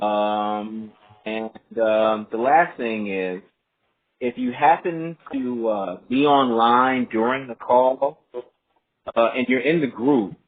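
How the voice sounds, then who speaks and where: A man hosting a group phone call, talking casually and politely in a halting, explanatory way. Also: medium pitch. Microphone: telephone conference line. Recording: muffled phone line with clear noise, faint.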